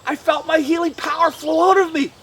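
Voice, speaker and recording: A woman doing a soft, calm male teacher. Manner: slow, soft, lowered voice. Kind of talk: children's Bible storytelling.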